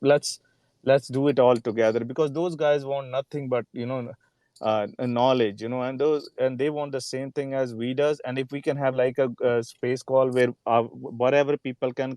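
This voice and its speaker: A man's voice: mid-range voice, a little mellow, no room sound. Man chatting earnestly, dry close sound. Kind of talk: casual chat in a live group audio call.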